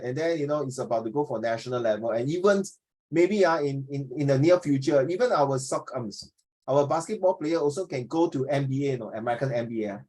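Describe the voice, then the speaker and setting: A Singaporean man in his fifties leads an informal class discussion at a middling pitch. He talks like a teacher thinking aloud, repeating phrases and tagging his sentences with questions and small particles. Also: a little mellow, low-quality recording. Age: 50s.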